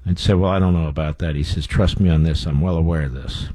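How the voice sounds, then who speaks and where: A man's voice: a bass voice, fairly even intonation, fast, dark tone, a little hiss or hum. A man hosting a talk radio show, giving blunt, scornful commentary with frequent fillers.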